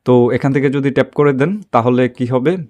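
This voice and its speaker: Man giving a halting app tutorial. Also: medium pitch, darkish tone. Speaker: tech tutorial host.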